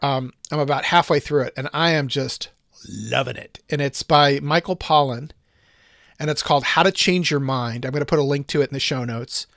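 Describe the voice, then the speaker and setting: A man's voice: medium pitch, normal speed, creaky, fairly bright tone, no room sound, clean studio sound. A man hosting a casual discussion podcast, thinking aloud in long, rambling sentences full of restarts and asides. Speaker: podcast host.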